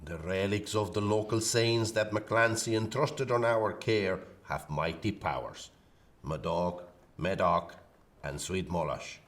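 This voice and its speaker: Man playing a low, slow Spanish soldier. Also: fairly bright tone. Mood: reverent. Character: loyal, battle-hardened Spanish soldier under siege. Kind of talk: audio play, declaimed dialogue.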